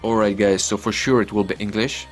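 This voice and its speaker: A man's voice: fairly low pitch, steady tone, a little fast, soft background music. A man in his twenties from Ukraine with a Ukrainian accent, speaking English as a second language in a chatty, repetitive walkthrough that keeps calling out to "guys". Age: 20s. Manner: non-native English, chatty and repetitive, often says "guys". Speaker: online earning vlogger. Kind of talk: casual screen-recorded walkthrough.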